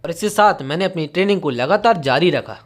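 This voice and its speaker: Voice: medium pitch, animated, quick pace, voice pushed down, lightly acted. A narrator voicing a confident, righteous young male lord with a self-assured, declaiming manner.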